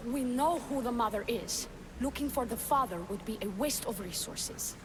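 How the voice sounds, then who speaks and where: A woman's voice: fairly high pitch, measured pace, background noise. An actress playing a skeptical, insistent female cultist in a video game cutscene, firm and dismissive in her delivery. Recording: loud background ambience.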